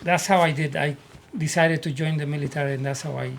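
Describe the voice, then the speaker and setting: A man from Puerto Rico with a Puerto Rican Spanish accent, telling his life story as an interview guest in earnest, halting English. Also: on the high side, fairly even intonation, creaky, a little hiss or hum. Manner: earnest, repeats words as he searches for English. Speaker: guest, army veteran.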